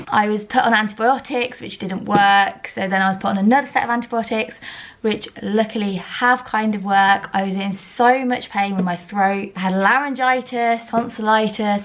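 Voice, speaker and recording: A young woman in her early twenties talks casually to her viewers from home. Her voice is a little croaky as it recovers, and she rambles on in a chatty, self-mocking way at an ordinary middle pitch. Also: a bit bright. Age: around 20. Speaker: vlogger. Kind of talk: casual chat to camera.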